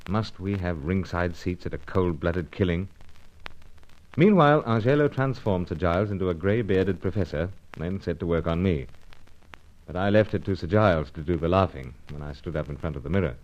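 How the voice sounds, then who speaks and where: A man plays a conscientious secret agent in a radio serial. The voice is low-pitched and dramatic, with a clipped, dutiful delivery. He sounds like an earnest hero of old-time adventure radio. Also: normal speed, darkish tone, highs cut off, natural voice. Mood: tense narration.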